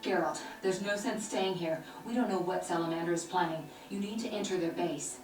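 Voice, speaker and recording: An actress playing a confident, composed sorceress in a video game, speaking with assurance. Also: female voice, lowish voice, a little fast, lightly acted. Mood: determined. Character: confident, loyal female sorceress, composed and brave. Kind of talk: video game cutscene acting. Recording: echoey game audio, distant, faint music.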